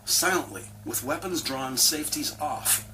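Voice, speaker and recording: A man's voice: mid-range voice, a little slow, background noise. A man narrating a scripted crime drama as a dramatic, detail-heavy storyteller.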